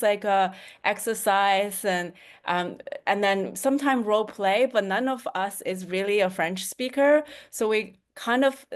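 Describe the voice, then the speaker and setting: A woman whose first language is Mandarin, co-hosting a webinar and speaking in an earnest, thoughtful way. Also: mid-range voice. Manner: earnest, thoughtful, with some non-native grammar. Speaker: co-host. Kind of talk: casual webinar chat.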